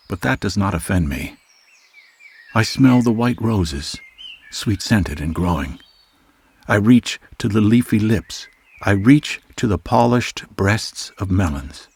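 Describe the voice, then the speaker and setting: Deep-voiced man declaiming poetry over music. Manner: declamatory, with long pauses between phrases. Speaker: voice artist reading poetry. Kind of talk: dramatic poetry reading. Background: music and cricket soundscape.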